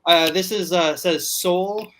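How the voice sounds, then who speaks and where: Loud-talking man rambling on a call. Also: sits high, takes it slowly, a little hiss or hum. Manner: talks loudly, rambling with many restarts. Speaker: podcast guest. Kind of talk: casual livestream chat. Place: home apartment.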